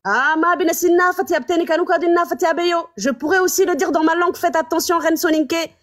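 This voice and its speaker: A woman hosting a live stream, commenting on clips and putting rhetorical questions to her viewers in an animated way. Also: very high voice, fast.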